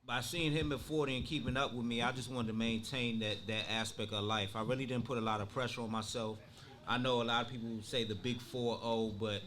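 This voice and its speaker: A man in his forties speaks in a calm, matter-of-fact way on a casual podcast. His voice sits at a middle pitch, with faint room noise in the background. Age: 40s. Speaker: podcast guest. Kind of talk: casual chat.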